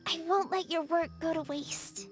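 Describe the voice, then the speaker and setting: A woman voicing a gentle, earnest young girl in a video game, with a soft, childlike voice. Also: very high voice, steady tone, normal speed, faint music behind. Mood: earnest, tender. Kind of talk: video game character acting.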